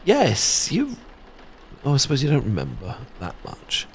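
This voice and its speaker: A man's voice: mid-range voice, huge pitch swings, unhurried, a little hiss or hum. A British man playing a shy, sweet best friend secretly in love, stammering and hesitant in a romantic ASMR audio roleplay. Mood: sheepish, reminiscing.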